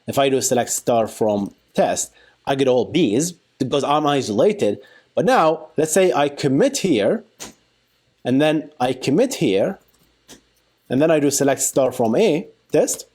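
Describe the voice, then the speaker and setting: Animated man teaching, pitch swinging freely. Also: a little slow. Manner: animated, playful, colloquial, thinks aloud with exclamations like boom. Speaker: software engineering instructor. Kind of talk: informal screen-demo tutorial, talking while typing.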